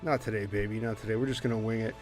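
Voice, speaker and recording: A man's voice: fairly low pitch, fairly even intonation, rapid-fire, darkish tone, faint music behind. A man chatting casually in breezy, repetitive short phrases, like a performer about to read a script. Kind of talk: casual chatty preamble before a script reading.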